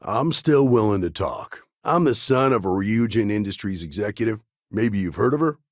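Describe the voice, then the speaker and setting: A man playing a level-headed, world-weary lawman ranger in a video game, with a gravelly, husky voice and a firm, plain-spoken way of talking. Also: fairly low pitch, animated, moderate pace, no room sound, clean studio sound, natural voice. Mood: matter-of-fact. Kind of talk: game character dialogue lines.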